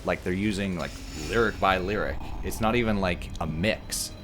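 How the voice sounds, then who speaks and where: A man, a little hoarse, casually commentating a video game and explaining puzzles step by step with jokes. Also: low voice, normal speed, a bit bright, soft background music. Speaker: let's play commentator.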